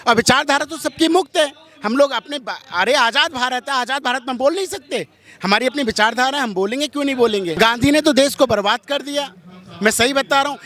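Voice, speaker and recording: A man's voice: very high-pitched, a little fast, pushed and bright. A man speaking as a religious figure in an interview, strident and combative, firing off rhetorical questions in an impassioned way. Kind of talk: impassioned street interview answers.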